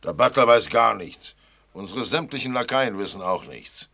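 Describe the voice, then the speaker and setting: A man's voice: on the low side, animated, moderate pace, vocal fry, bright, forceful tone, husky, dull audio, heavily acted. An actor in a German radio play voicing a blunt, fussy older father who grumbles in a clipped manner. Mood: grumbling.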